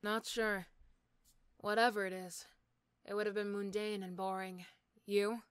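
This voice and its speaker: A woman playing an irritable, sarcastic female supervillain in a roleplay audio drama, snappy and condescending but gruffly caring. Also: medium pitch, flat delivery, a little slow, no echo, clean studio sound, voice pushed down, natural voice. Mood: condescending, reassuring.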